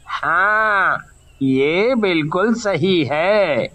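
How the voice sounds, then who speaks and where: Worried village man, acted out by a man, high for a male, slow delivery, horror cartoon. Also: lively intonation, pushed and bright, heavily acted. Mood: anxious. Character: anxious male villager, troubled by a monster.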